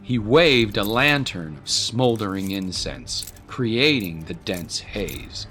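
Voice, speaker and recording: A man's voice: fairly low pitch, animated, slow, pushed and bright, soft background music. A man narrating a scripted story in a dramatic storytelling style.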